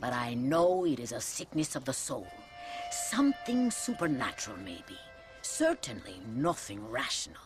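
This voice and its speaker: A wry older nun. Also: female voice, rumbling low, very animated. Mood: dry. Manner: wry, measured, sardonic. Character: dry-witted older mother superior nun. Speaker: convent head in a video game cutscene. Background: game score music.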